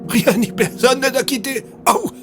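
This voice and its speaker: A man voicing a crazed old man in an audio story, hysterically shouting repeated warnings. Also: very high-pitched, normal speed, over music, pitched above the performer's own voice. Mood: manic. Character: crazed old man shrieking about magic and doom.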